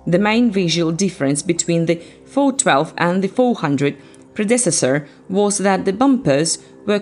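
Female narrator, lowish register, scripted read with faint music underneath.

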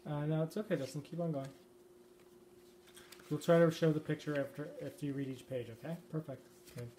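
A man's voice: a little high, fairly even intonation, brisk. A man talking casually and encouragingly to a child, coaching from behind a handheld camera in a living room. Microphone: handheld camera mic, speaker behind camera. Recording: faint noise, clicks, off-camera home recording.

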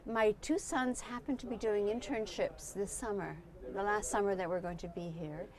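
A woman's voice: mid-range voice, normal speed, a crowd in the background. A woman in her fifties, born in Poland and raised in Canada, chatting as an interview guest outdoors at night on a lapel mic, friendly and full of restarts. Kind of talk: casual chat.

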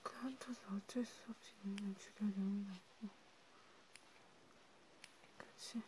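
A woman whispering softly in an ASMR role play as a vampire healer tending a wounded vampire. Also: medium pitch, fairly even intonation, a little slow. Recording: clearly noisy home recording.

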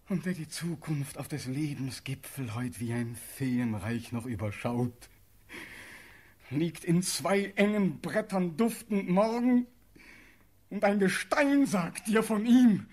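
A young man acting a desperate condemned prince pleading for his life in a classical verse radio drama, impassioned and declamatory, swinging from hush to outcry. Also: high-pitched, husky, heavily acted. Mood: horrified.

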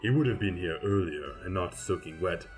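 A man playing a polite demon grim reaper in an audio drama, speaking deliberately and formally. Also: deep voice, expressive, soft background music. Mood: matter-of-fact. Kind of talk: dramatized webcomic audio play.

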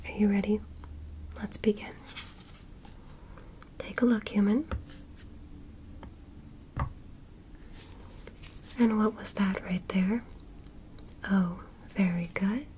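A woman voicing a gentle, curious alien medical examiner in an ASMR roleplay, in a soft, breathy whisper with deliberate short instructions. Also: medium pitch, a little hiss or hum, close to an everyday voice. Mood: curious, pleased. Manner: slow, deliberate, short instructions with simple praise. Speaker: ASMR performer playing an examiner.

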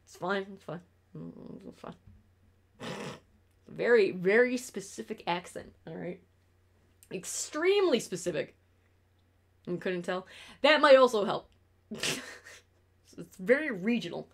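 A woman's voice: medium pitch, very animated, a little slow, creaky, pauses often. A young woman from New York State with an upstate New York accent, chatting on a casual stream from her bedroom, blunt and ranting, swearing freely.

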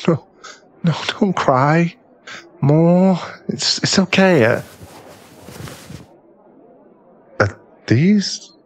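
A man playing a young werewolf bar owner in an ASMR boyfriend roleplay, teasing but secretly tender, with a halting, stammering delivery. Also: mid-range voice. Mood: tender, apologetic.

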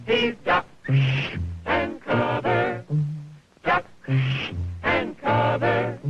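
Woman singing bouncy 1950s jingle, wide swoops, lo-fi old record over music. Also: medium pitch. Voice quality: bouncy sung melody. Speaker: singer of an archive jingle. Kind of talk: 1950s public service song.

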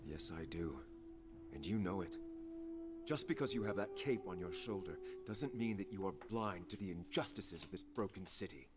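A resolute rebel leader in a video game. The voice is deep, solemn and formal, set against clear background music. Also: male voice, expressive, moderate pace. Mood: impassioned. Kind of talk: scripted video game acting.

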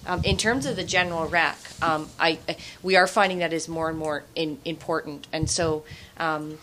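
Woman, 40s, low voice, chatty panel answer, desk mic, conference room. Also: steady tone, normal speed, fairly bright tone, a little hiss or hum, poor audio. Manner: conversational, many restarts and fillers. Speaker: government representative on a panel. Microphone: desk microphone on stand.

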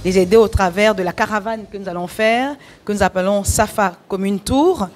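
A woman's voice: medium pitch, moderate pace. A woman giving an interview into a handheld mic in an indoor conference room, speaking in a composed, explanatory way with small hesitations. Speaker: NGO president and event organizer. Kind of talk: interview answer.

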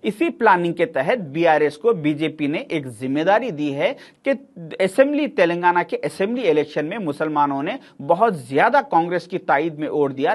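Emphatic man giving commentary. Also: on the high side. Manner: emphatic, sarcastic, argumentative. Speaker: political commentator. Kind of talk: political commentary to camera. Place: studio.

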